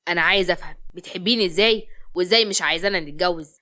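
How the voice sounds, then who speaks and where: Woman voicing a lovestruck young Egyptian man, fast-talking. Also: on the low side, sharp tone. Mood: insistent, tender. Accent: Egyptian Arabic. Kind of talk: acted Egyptian romance audio story.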